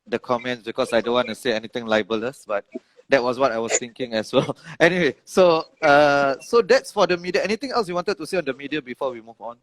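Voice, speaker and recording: A man's voice: medium pitch, poor audio. A man with a Singaporean accent hosting a podcast interview, asking questions with lots of hesitations and restarts. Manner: hesitant, many fillers and restarts, quick to laugh. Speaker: podcast host, political science professor.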